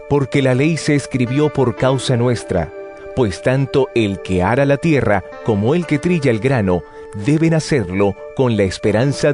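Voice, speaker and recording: Actor como apóstol solemne, con música. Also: male voice, mid-range voice, natural voice. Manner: measured, solemn, didactic. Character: solemn male apostle teaching believers. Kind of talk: dramatized scripture reading. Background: background music.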